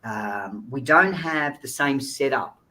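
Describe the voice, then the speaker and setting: A man's voice: mid-range voice, lively intonation, slow, bright, forceful tone. A man giving informal, earnest, explanatory answers as an interview guest on a video call. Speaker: guest speaker, child recovery agency founder.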